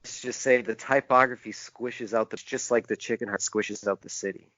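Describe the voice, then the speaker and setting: A man speaking as a podcast guest in casual conversation, halting and thoughtful, often restarting his sentences. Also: medium pitch, steady tone, sharp tone, no echo, lo-fi sound. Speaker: podcast guest, author and publisher. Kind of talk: casual interview chat.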